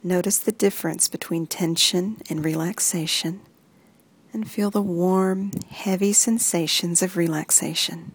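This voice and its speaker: A woman reading a guided muscle relaxation script in soft, even instructions that often sink into a whisper. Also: on the low side, measured pace, husky.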